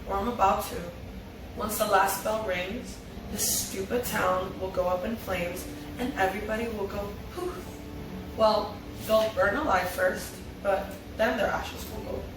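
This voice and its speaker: A woman's voice: medium pitch, fairly even intonation, a little slow, noisy background, close to an everyday voice. A woman reading the parts of a gloating villainess and pleading heroines in a supernatural TV drama at an amateur table read. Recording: echoey room, distant mic, music behind.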